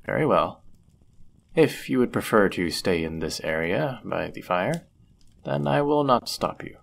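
A man acting a deep-voiced, courteous medieval innkeeper. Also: expressive, vocal fry, slight background noise. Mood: gentle, courteous. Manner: gentle, polite, measured, formal wording. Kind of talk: intimate roleplay audio acting, formal old-fashioned speech.

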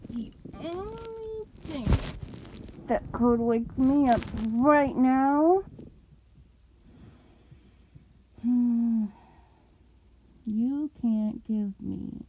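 A woman's voice: fairly high pitch, expressive, drawn-out words, long pauses, breathy edge, heavily acted, low-quality recording. A woman playing a sleepy, grumpy, innocent young streamer in an ASMR roleplay, mumbling and humming in pouty protest. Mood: tired, pouty. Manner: drowsy, pouty mumbling with grumbly hums. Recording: loud rustling of fabric close by. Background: rustling, ruffling noises.

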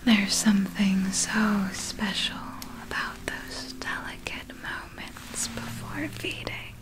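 Wicked female vampire , played by a woman, ASMR monologue. Breathy whisper, extremely unhurried, deliberate, relishing delivery. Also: medium pitch, natural voice, low-quality recording. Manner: slow, deliberate, savoring storytelling. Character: wicked female vampire, savoring past hunts and treasures.

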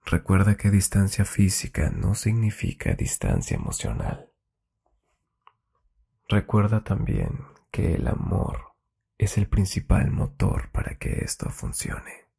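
Deep, gravelly, breathy man speaking softly. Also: huge pitch swings, slow. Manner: soft, breathy, intimate, partly whispered delivery. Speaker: ASMR host. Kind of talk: intimate Spanish ASMR relationship advice. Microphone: close-up binaural microphone.

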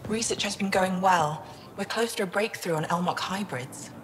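A woman's voice: medium pitch, normal speed, a little hiss or hum, natural voice. An actress playing a devoted, modest female alien researcher in a video game, speaking tenderly.

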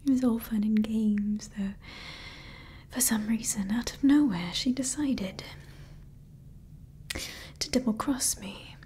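A woman voicing a scheming female evil scientist who calls herself Mother, in a slow, soft, conspiratorial whisper for ASMR roleplay on a close microphone. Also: a little high, a little hiss or hum. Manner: slow, intimate, conspiratorial ranting. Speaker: ASMR creator.

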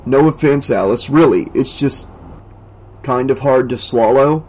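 A reader voicing an easygoing, skeptical teenage boy who talks in halting bits. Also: medium pitch, a little mellow, lightly acted. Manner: hesitant, halting.

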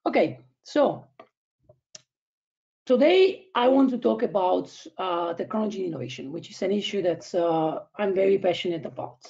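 A man in his thirties with an Italian and Spanish accent, presenting an online academic seminar in a halting way, with many fillers and restarts, over a video call from home. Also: high voice, expressive, vocal fry, a little mellow. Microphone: laptop webcam, video call. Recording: dull lo-fi video call audio.

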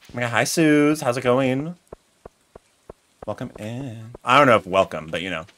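A man's voice: mid-range voice, moderate pace. A young man from the United States chatting on a live stream while gaming, telling stories and reading chat aloud from a small home room. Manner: chatty, animated storytelling, reads chat aloud. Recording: faint game music behind.

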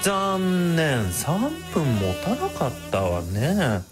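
A man's voice: a little high, big pitch swings, drawn-out words, over music, exaggerated voice. A man playing an effeminate, sadistic psychopath villain in a radio drama, with camp, feminine phrasing that savours each word. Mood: menacing, mocking.

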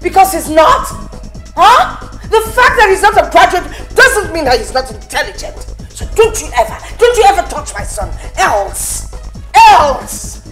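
A woman's voice: very high voice, sing-song, unhurried, soft background music, heavily acted, low-quality recording. A middle-aged woman playing a fierce, overprotective mother-in-law in a film drama, scolding with taunting questions. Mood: indignant scolding. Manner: confrontational, taunting rhetorical questions. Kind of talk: heated film drama acting.

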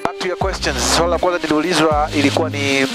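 Young male pilot-vlogger, lively mixed-language storyteller, headset mic, music bed. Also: on the high side, fast. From Tanzania. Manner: chatty, laughing, switches languages often. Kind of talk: casual storytelling mixing Swahili and English. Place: small aircraft cockpit in flight. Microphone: headset boom mic.

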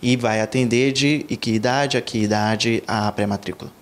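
A man's voice: mid-range voice, fairly even intonation, moderate pace. Young man reporting for local news. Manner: formal, scripted questions. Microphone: handheld mic.

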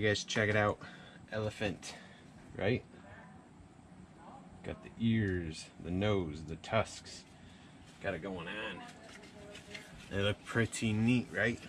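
Lowish guy, sweaty, chatting to the guys from his outdoor carving shed, noisy background. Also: a bit bright. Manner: chatty, addresses viewers as guys. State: hot and sweaty. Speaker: woodcarving vlogger. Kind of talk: casual workshop vlog talk.

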